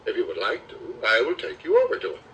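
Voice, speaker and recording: A man's voice: on the low side, huge pitch swings, fast talker, creaky, a bit bright, distant mic, slight background noise, exaggerated voice, poor audio. A man reading the part of a kind, encouraging cook in a children's storybook. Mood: friendly, encouraging. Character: kind restaurant cook, friendly and helpful man.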